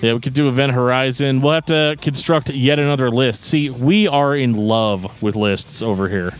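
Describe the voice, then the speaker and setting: A man's voice: medium pitch, moderate pace, clear, resonant tone, soft background music. A man co-hosting a podcast in casual chat, explaining things in a joking, rambling way. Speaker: podcast co-host.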